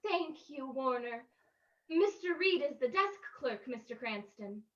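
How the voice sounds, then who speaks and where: Woman as bereaved young radio heroine, very high bright voice, polite, anxious. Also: animated, unhurried. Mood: polite, subdued. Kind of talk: old-time radio play reading. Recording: dull, distant microphone.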